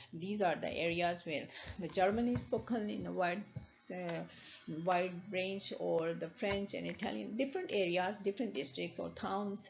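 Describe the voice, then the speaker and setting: Lowish, gravelly Pakistani woman lecturing. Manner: explains slowly with frequent restarts and fillers. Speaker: university lecturer. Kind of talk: recorded lecture over slides. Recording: dull lo-fi recording.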